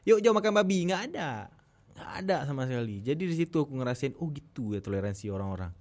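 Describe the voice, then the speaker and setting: A man's voice: medium pitch, animated, fast talker, darkish tone. A young man from Aceh with an Acehnese accent, talking earnestly as a podcast guest and repeating himself for emphasis. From Aceh, Indonesia. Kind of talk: casual podcast conversation.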